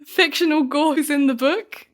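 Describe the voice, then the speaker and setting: A woman's voice: high voice, normal speed, slight background noise. A British woman speaking as an interviewed author, thoughtful and self-deprecating in a conversational style. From Britain. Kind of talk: conversational interview about a book.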